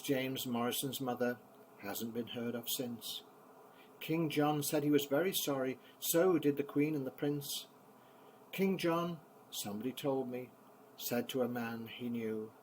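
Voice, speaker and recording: An older man, a grandfather, reading children's poems aloud in an expressive, theatrical bedtime style. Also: mid-range voice, a little slow, slight background noise, poor audio.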